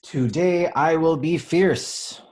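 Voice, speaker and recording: A man reading a children's picture book aloud as a teacher, pausing to think aloud and explain things simply. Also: fairly high pitch, a little mellow, slightly rough. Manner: pauses to pose questions and think aloud.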